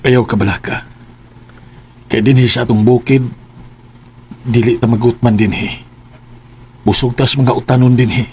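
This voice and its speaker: An actor playing a calm, forgiving middle-aged farmer father in a radio drama, with a Cebuano accent. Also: medium pitch, moderate pace, long pauses, slight background noise, lightly acted. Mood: reassuring.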